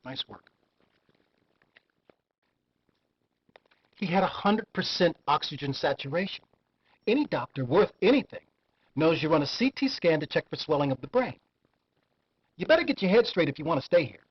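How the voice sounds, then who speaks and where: An actor playing a brisk, world-weary senior emergency room doctor in a game drama. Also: male voice, a little high, big pitch swings, husky. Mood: stern. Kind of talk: acted medical drama dialogue. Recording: muffled game video, faint noise.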